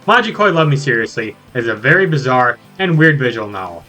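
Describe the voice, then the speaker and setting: A man delivers a scripted game review at a brisk clip, with a bright, forceful voice. He is enthusiastic and jokey, given to exclamations, and music plays underneath him. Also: medium pitch, big pitch swings. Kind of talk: scripted review narration with joking asides.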